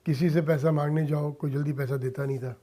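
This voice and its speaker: Mellow middle-aged man, slightly high voice. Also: fairly even intonation, fast. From India. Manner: earnest, mixes in English phrases. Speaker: interview guest, businessman and social worker. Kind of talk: conversational radio interview. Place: radio studio.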